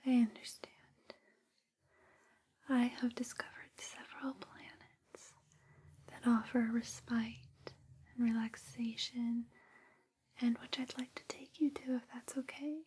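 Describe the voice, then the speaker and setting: A woman performing a whispered ASMR roleplay, gentle, soothing and unhurried, close on a binaural microphone. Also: fairly high pitch, little pitch movement, slight background noise.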